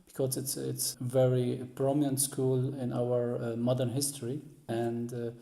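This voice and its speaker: A man, recounting family history as an interview guest in halting English, searching for words. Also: medium pitch, monotone, creaky, mellow. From Lebanon. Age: 40s. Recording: roomy, echoey sound.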